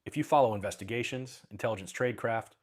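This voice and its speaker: A man narrating a scripted explainer in a measured, deliberate way, with short emphatic clauses. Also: medium pitch. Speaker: narrator of an intelligence and radio technology explainer.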